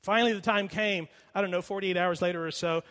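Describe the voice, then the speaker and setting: Middle-aged male pastor, emphatic sermon delivery with a fairly high voice, recorded in a church sanctuary with long reverb. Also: steady tone. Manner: emphatic, slows down and repeats for emphasis, drops quiet when storytelling. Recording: long reverb of a large hall.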